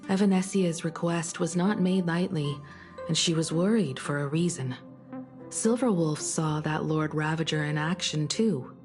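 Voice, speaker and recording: A woman playing a composed, caring starship navigator in a video game, speaking in a gentle, reflective, measured way like a proud mentor. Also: low-pitched, faint music behind. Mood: serious. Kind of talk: video game story dialogue.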